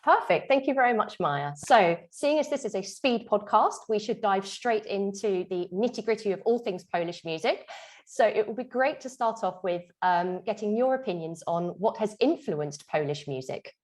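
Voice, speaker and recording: A woman's voice: medium pitch, lively intonation, normal speed. A woman hosting a podcast interview, moving between prepared introductions and conversational questions. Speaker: podcast host.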